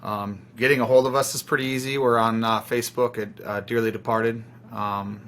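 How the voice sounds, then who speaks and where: A man's voice: mid-range voice, steady tone, normal speed, fairly bright tone. Man chatting as a band interviewee. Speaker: band member being interviewed. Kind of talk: casual interview chat.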